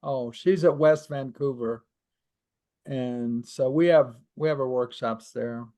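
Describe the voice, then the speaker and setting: A man who leads language teaching workshops, chatting casually on a call and talking haltingly. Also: mid-range voice, mellow. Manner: halting, with many fillers and restarts. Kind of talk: casual chat on a video call.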